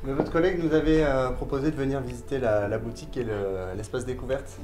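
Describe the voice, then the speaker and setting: A man's voice: medium pitch, fast, darkish tone. A man playing a visitor in casual conversation for a tourism promo. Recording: roomy echo, faint music behind.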